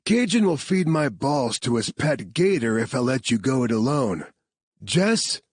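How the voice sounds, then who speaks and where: A man's voice: medium pitch, big pitch swings, unhurried, husky, dry recording, voice pushed up. A man voicing a blunt, protective male team leader in an audiobook. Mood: gruff. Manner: blunt, commanding.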